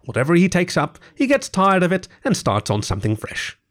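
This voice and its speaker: A man voicing a friendly, easygoing gentleman river rat from a storybook, chatty and cheery. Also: high-pitched, wildly swooping pitch, brisk, pitched above the performer's own voice, exaggerated voice. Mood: chatty, wry. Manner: cheery, chatty, old-fashioned British idiom. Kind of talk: storybook character voice.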